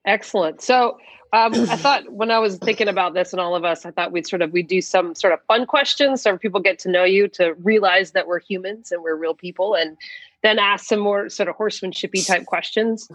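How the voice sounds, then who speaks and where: A woman's voice: medium pitch, a little fast, a bit bright, dry recording, low-quality recording. A woman moderating a casual roundtable, upbeat and steering the conversation. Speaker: guest moderator.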